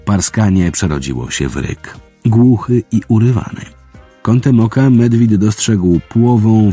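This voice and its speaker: A man reads dramatic fantasy fiction as an audiobook narrator. His voice is low and moves expressively up and down, with a mellow, dark tone. Faint music accompanies the reading. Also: breathy edge.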